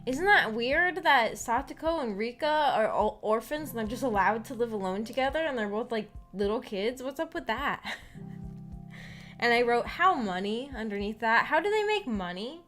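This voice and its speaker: American woman, slightly high pitch, casual streamer chat, halting and giggly delivery, soft background music. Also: lively intonation. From United States. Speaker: streamer hosting a visual novel book club. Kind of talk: casual chat, discussing a story with viewers.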